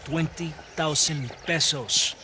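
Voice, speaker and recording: An actor with a Mexican accent playing a sleazy, fawning, hedonistic army captain in a video game, with an oily, mock-courteous manner. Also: male voice, a little high, slow. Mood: smooth, formal.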